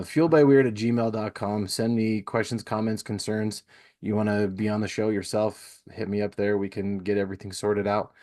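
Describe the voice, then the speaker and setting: A man's voice: lowish voice, darkish tone. A man hosting a podcast, chatting casually and giving plugs in an informal, enthusiastic way. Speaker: podcast host.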